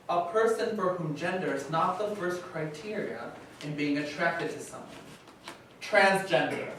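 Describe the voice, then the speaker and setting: Actor as formal higher-voiced male definition reader, echoey. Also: fairly bright tone, close to an everyday voice. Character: formal narrator reciting dictionary definitions. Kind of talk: staged reading, measured recitation. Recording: echoey hall, distant mic, faint noise.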